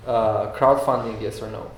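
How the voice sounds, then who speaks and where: A man's voice: lowish voice, fairly even intonation, moderate pace, a little hiss or hum. A man hosting a live event interview, asking hedging, filler-heavy questions. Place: event room. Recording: very echoey room with faint ambience.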